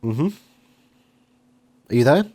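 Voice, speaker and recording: A man's voice: mid-range voice, animated, slow pace, mellow. A man interviewing someone in a casual, chatty way, feeling out his questions as he goes.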